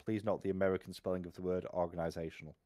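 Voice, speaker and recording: A man with a low voice reads a fantasy novel aloud for a live stream. He takes his time over the sentences, occasionally tripping on a word and putting it right. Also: level tone, mellow. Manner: unhurried narration with occasional stumbles and self-corrections.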